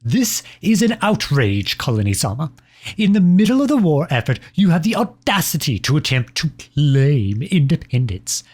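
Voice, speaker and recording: A self-important imperial lover, acted out by a man for a comedic audio roleplay. The voice sits a little high for a male. Formal letters are delivered in a haughty, petulant way, as from a jilted ruler who expects obedience. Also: very animated, moderate pace, a little mellow, slightly rough. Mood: outraged, hurt. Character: Britain personified as a pompous, jilted imperial lover.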